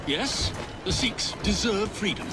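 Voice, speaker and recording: A haughty, high-voiced British politician. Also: male voice, animated, measured pace, loud music behind the voice. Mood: scornful. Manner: condescending, oratorical, scornful. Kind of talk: video game dramatic dialogue.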